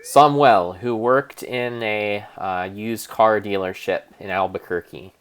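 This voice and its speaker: A man improvising a mock-dramatic narration of a game in a deadpan storytelling manner, often stopping to correct himself. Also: on the low side, clean tone. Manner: deadpan storyteller, halting restarts and self-corrections.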